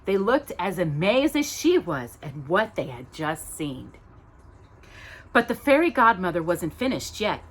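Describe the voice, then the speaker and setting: A woman hosting storytime, reading a children's story aloud with expressive, dramatic emphasis on descriptive words. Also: on the low side, a little slow, slightly rough.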